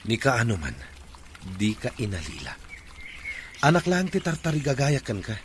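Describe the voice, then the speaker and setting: A man's voice: medium pitch, wildly swooping pitch, moderate pace, background noise. An actor playing an anguished, quarrelsome young father in a radio drama. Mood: bitter, angry.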